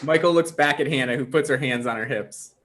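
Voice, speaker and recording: A man's voice: mid-range voice, brisk, a bit bright. A man reading stage directions as narrator in a scripted comedy table read.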